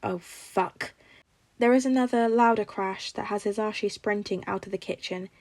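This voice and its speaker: A woman's voice: mid-range voice, moderate pace, a little mellow, no room sound. A woman reading a scripted story aloud as a fiction narrator. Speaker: podfic narrator.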